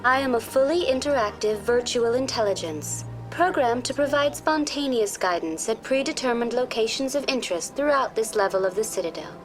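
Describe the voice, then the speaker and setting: Woman as a high-voiced virtual guide, polite and formal. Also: lively intonation, fairly quick, hardly any pauses, music in the background, natural voice. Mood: polite, informative. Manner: formal, courteous, precisely worded. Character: polite female virtual intelligence tour guide, calm and programmed. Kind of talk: scripted informational video game dialogue.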